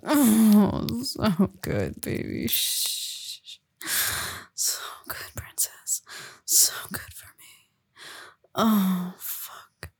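A woman murmurs in an intimate, whispered roleplay, her voice husky and airy with a gravelly edge. She takes her time, speaking slowly and softly. The recording is close and dry, with no room sound around her. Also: huge pitch swings, no room sound. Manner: soft breathy whispering, close to the listener; low murmured coaxing with sighs. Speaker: audio roleplay performer.

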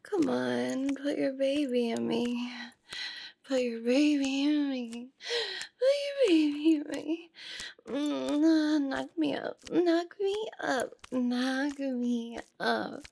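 A woman playing a submissive, pleading female boss in an intimate erotic audio roleplay, breathy and moaning, often slipping into whispers. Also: high voice, drawn-out words, dark tone, slight background noise, heavily acted. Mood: pleading, urgent. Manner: breathy moans, drifting into whispers. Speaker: performer of adult audio roleplay.

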